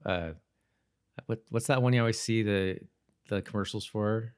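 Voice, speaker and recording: A man co-hosting a podcast, casually discussing a TV episode in a halting way, repeating and rephrasing as he goes. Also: on the low side, fairly even intonation, smooth. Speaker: podcast co-host.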